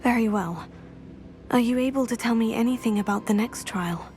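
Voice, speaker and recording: Female actor as curious teenage witch student; higher-than-average voice, courteous and direct, fantasy game dialogue. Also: normal speed, darkish tone, lightly acted. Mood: respectful, eager. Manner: respectful, clear-headed, direct. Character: polite, inquisitive teenage girl witch student. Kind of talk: cinematic fantasy game voice acting. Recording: faint music behind the voice.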